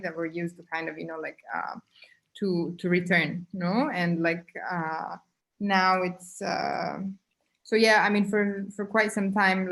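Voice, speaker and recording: Woman guest in an online talk, lowish voice, halting, reflective, lots of "you know, like". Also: fairly bright tone, low-quality recording. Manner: halting, thinking aloud with many fillers. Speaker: guest artist. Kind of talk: casual online conversation.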